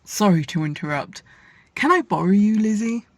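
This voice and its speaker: A woman playing a blunt, sarcastic young workshop supervisor in a radio play, with a husky voice. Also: medium pitch, lively intonation, moderate pace, no room sound. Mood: urgent, insistent.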